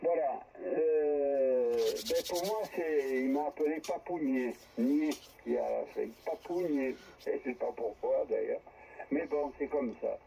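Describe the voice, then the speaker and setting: A man's voice: medium pitch, unhurried, vocal fry, mellow. An older man talking in a casual, hesitant, meandering way as an amateur radio operator on air. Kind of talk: casual ham radio chat. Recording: dull, faintly noisy amateur radio transmission.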